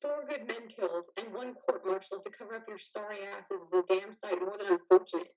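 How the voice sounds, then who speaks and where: A woman playing the exhausted, fiercely protective partner of a troubled veteran in a play reading, blunt and biting, with a husky voice. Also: mid-range voice, a little fast, darkish tone, natural voice. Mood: bitter, accusing. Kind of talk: play reading, modern drama.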